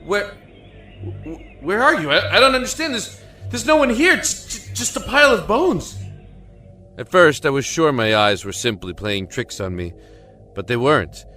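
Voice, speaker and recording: A man's voice: on the high side, big pitch swings, moderate pace, pushed and bright, soft background music. An actor playing a desperate imprisoned man in an audio drama, telling his story in an earnest, emotive, brooding way. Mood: bewildered, distraught. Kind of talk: dramatic first-person audio drama narration.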